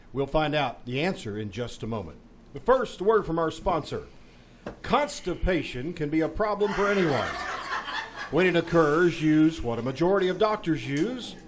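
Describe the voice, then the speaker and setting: Man as animated old-time radio announcer. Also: fairly high pitch, slight background noise. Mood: salesmanlike. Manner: theatrical, presentational. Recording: live theater with audience sound.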